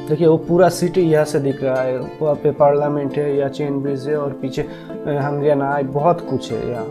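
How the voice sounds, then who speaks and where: Higher-pitched man narrating over music. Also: fairly even intonation, normal speed. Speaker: travel vlogger and host. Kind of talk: casual travel vlog narration.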